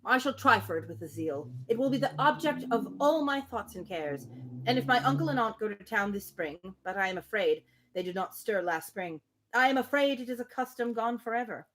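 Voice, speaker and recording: Dejected, earnest young gentleman, read by a woman. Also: fairly high pitch, expressive, fairly quick, slight background noise, low-quality recording. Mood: dejected, regretful. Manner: earnest, lamenting, formal speech.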